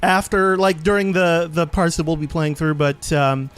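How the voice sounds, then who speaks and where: A man's voice: fairly high pitch, moderate pace, a bit bright, clean tone. A man giving casual gameplay commentary as a let's play host, explaining in a rambling, hesitant way. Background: game menu music.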